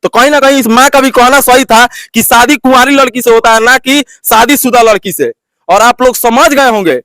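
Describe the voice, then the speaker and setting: A man working as a vlog host, interviewing and commenting to viewers in halting, repetitive phrasing with filler phrases. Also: very high-pitched, pushed and bright, dry recording.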